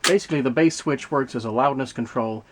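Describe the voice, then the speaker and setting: A man giving an unscripted, hands-on technical demonstration as a video host, explaining things in a measured, methodical way. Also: mid-range voice. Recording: clear background noise, mechanical clicks.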